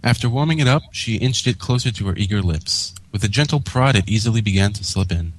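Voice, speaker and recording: A man's voice: fairly low pitch, animated, brisk, poor audio. A man reading a scripted story aloud as a co-host and narrator. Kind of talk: scripted fan fiction narration.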